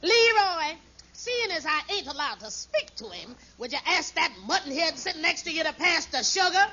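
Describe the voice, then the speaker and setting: A woman's voice: very high-pitched, lively intonation, normal speed, sharp tone, heavily acted. An actress playing a quarrelsome, doting old mother-in-law in a 1940s radio sitcom, by turns gushing and cutting. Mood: scornful. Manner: gushing over her son, cutting with insults. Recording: muffled old radio recording, faint noise.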